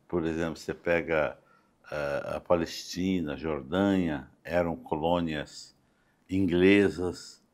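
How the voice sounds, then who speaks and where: An older Brazilian man speaking as a guest in a conversational podcast interview, halting and often adding small check-in tags. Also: low-pitched. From Campo Grande, Brazil. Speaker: interview guest, doctor and city councillor. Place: podcast studio.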